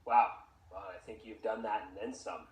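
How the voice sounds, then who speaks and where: A man's voice: on the low side, steady tone, normal speed. A man, a teacher hosting a podcast, encouraging his guest and asking questions in a chatty way. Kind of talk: conversational interview. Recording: echoey room.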